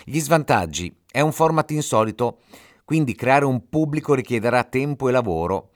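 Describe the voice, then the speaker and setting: A man's voice: mid-range voice, expressive, moderate pace, soft background music. A man hosting a podcast, giving scripted explanatory narration in a didactic way, speaking directly to the listener. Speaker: podcast host.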